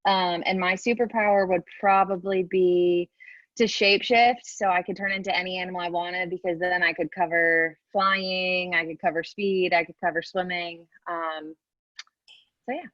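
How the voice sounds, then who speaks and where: A woman's voice: fairly low pitch, fairly even intonation, moderate pace, dry recording, lo-fi sound. A young woman from Boston, a medical student, introducing herself casually with lots of ums. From Boston, Massachusetts. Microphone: video call.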